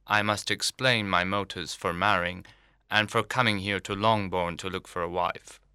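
A man's voice: low voice, fairly even intonation, normal speed, pushed and bright, clean tone, no echo, clean studio sound, heavily acted. A man playing a pompous, self-satisfied clergyman in a literary audiobook, speaking in formal, long-winded sentences. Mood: self-important. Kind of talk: dramatised literary audiobook reading.

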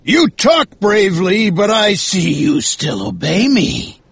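Obsessive, creaky-voiced old-time sea captain. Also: male voice, high voice, huge pitch swings, a bit bright, dry recording, voice pushed up, full character voice. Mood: defiant. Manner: slow, commanding, angry outbursts. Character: obsessed, furious, domineering male whaling ship captain.

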